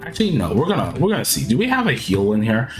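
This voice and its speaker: A man with a sick, hoarse-sounding voice, casually explaining his gameplay moves step by step. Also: mid-range voice, huge pitch swings, quick pace, over music. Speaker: video game commentator. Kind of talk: gameplay walkthrough commentary.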